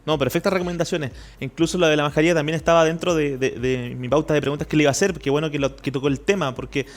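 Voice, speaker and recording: Fast-talking male host. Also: fairly high pitch, steady tone. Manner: friendly, quick affirmations. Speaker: morning show host. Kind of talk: casual interview questions.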